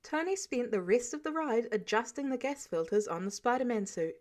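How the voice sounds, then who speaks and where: A woman's voice: a little high, expressive, brisk, darkish tone. A woman reading a story aloud as scripted audiobook narration. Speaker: amateur audiobook reader.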